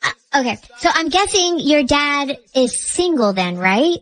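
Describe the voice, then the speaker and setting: A woman's voice: high voice, big pitch swings, a little slow, fairly bright tone, low-quality recording. A woman co-hosting a radio show, asking casual questions in conversational banter.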